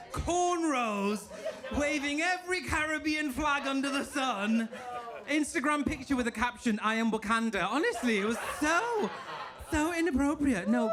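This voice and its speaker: A man from England with a northern English accent doing a stand-up set in a comedy club, mock-posh and deadpan with sudden high squeals. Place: comedy club stage with a laughing audience. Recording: live room with crowd noise. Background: audience laughter and applause.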